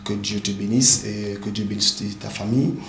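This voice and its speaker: A man's voice: fairly low pitch, fairly even intonation, normal speed, vocal fry, a bit bright. A man who is a pastor and a native English speaker, earnestly explaining things in an interview with many hesitant fillers. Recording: echoey room with background noise.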